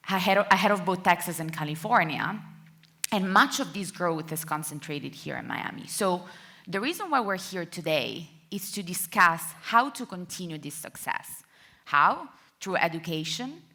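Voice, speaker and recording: Low-voiced, bright Italian woman moderator. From Italy. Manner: friendly, with light laughs and fillers. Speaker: panel moderator. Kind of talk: conference panel moderation. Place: conference stage. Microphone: handheld microphone.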